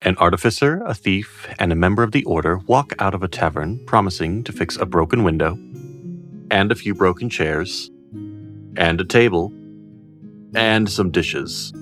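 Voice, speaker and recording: Male narrator, low voice, fantasy storytelling, conversational asides, music underneath. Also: fairly bright tone. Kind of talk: scripted storytelling narration for fantasy audio drama.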